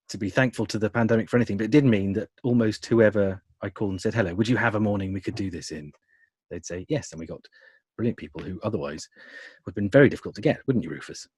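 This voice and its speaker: A man's voice: on the low side, slightly rough, no echo. A British man giving conversational, explanatory interview answers as a radio drama producer, with dry humour.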